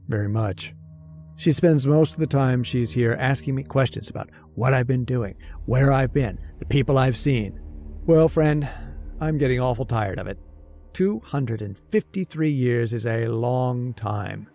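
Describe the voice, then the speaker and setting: A man's voice: mid-range voice, mellow, lightly acted. A man playing a henpecked, jittery immortal husband in an old-time radio drama, confiding his story with stammering, plaintive asides. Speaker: actor. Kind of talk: old-time radio horror-comedy acting, first-person narration.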